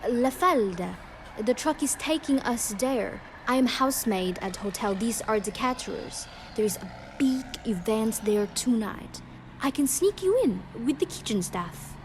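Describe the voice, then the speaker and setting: An actress playing a young, quietly bold Argentine housemaid with a Spanish accent and halting, clipped phrasing in an audio drama. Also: female voice, on the high side, animated, a little hiss or hum, poor audio. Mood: knowing, confiding. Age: young adult.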